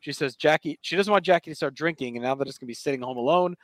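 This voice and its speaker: A man's voice: a little high, racing, no echo. A man hosting a podcast, casually recapping a TV episode and retelling scenes with quoted dialogue. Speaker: podcast host.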